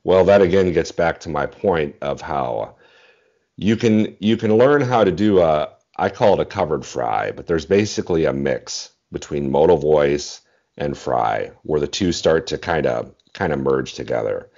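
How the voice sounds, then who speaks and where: A man in his forties with a remarkably deep voice talks casually as an interview guest. He thinks aloud in measured phrases. The sound is slightly muffled, like a home video call. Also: expressive, darkish tone. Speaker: interview guest, bass singer. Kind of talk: casual interview chat about singing technique. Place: small indoor room at home, video call. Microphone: earphones on a video call.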